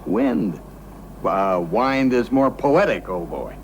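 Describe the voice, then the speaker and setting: An actor playing an urbane, genially pedantic literary gentleman in a television drama, with a gravelly voice and measured, declamatory speech. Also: on the low side, very animated, lo-fi sound, slight background noise. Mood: amused.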